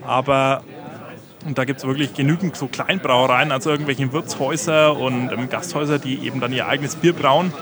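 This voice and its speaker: A man's voice: mid-range voice, steady tone, fairly quick. A man with a Franconian German accent, answering interview questions casually and hesitantly, with many filler sounds. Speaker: guest. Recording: loud crowd chatter behind.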